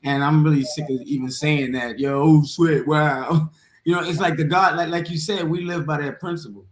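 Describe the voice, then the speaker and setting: A man's voice: fairly high pitch, steady tone, normal speed. A middle-aged man chatting casually in an emphatic, slangy, repetitive way. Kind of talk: casual, streetwise chat. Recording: roomy echo with faint noise.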